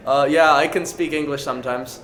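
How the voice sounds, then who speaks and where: A man's voice: on the high side, fairly even intonation, brisk, fairly bright tone. Man chatting in an echoey room. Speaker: actor answering fan questions. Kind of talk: casual group Q&A chat. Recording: roomy echo, faint background noise.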